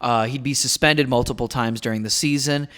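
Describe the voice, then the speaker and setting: Young male podcast co-host, easygoing storyteller, mid-pitch, chatty. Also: steady tone, a little fast, a bit bright. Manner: laid-back recap with frequent "uh" and "um". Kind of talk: casual podcast chat with storytelling.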